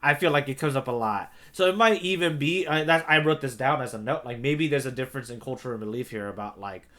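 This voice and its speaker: A man co-hosting a podcast in casual discussion, analytical and reasoning out loud through questions. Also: medium pitch, fast. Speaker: podcast co-host.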